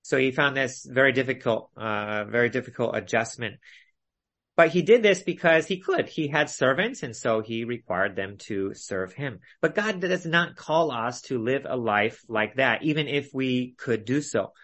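A man preaching an expository Bible study, teaching in measured phrases and repeating them for emphasis. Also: medium pitch, lively intonation, fairly bright tone. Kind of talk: expository sermon with illustrations.

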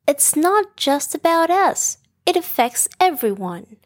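A caring lady giraffe from a children's storybook , as a woman narrator puts her on. The voice is high and expressive, rising and falling in an earnest, watchful way. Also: takes it slowly, heavily acted. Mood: concerned, earnest.

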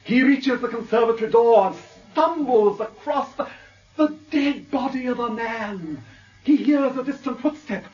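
Slow, grandiloquent defence barrister, distant sound. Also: male voice, very high-pitched, a little mellow. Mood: suspenseful narrating. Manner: grandiloquent, declamatory. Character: eloquent theatrical male defence barrister addressing the Lords. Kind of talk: dramatic courtroom oration in radio drama. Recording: muffled, distant radio drama recording.

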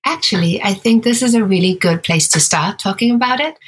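A woman co-hosting a podcast, speaking in a measured, explanatory way. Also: medium pitch, husky. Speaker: podcast co-host. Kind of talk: scripted podcast narration.

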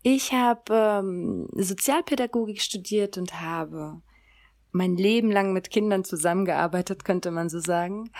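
A woman in her thirties from Germany hosting a solo podcast, talking in an earnest, gentle way with the odd um. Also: on the low side. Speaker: podcast host.

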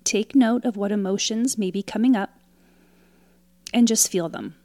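A woman leading a guided meditation in a calm, soothing, instructional way, leaving pauses between short phrases. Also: mid-range voice, dry recording. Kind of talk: guided meditation, scripted narration.

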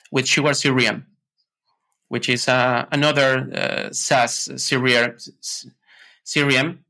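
A man from Ecuador with an Ecuadorian Spanish accent, chatting casually as an interview guest in slightly halting English. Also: medium pitch, pushed and bright. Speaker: podcast guest, tech consultant.

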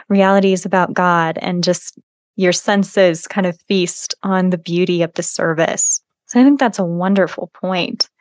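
A woman hosting a podcast interview, affirming her guest and asking measured questions. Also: mid-range voice, no room sound, studio quality. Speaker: podcast host.

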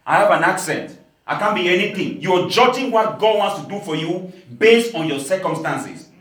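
A man's voice: high-pitched, animated, normal speed. Pastor from Cameroon, male, emphatic sermon delivery, echoey church hall. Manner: emphatic preaching, laughing asides, rising to shouts.

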